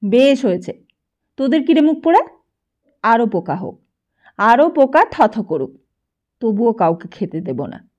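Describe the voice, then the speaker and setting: A woman's voice: fairly high pitch, sing-song, measured pace, pauses often. Woman acting a cantankerous, ranting old miser grandfather. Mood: furious, bitter. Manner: shouting, cursing, ranting. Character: cantankerous miserly old grandfather, half-blind, scolding everyone.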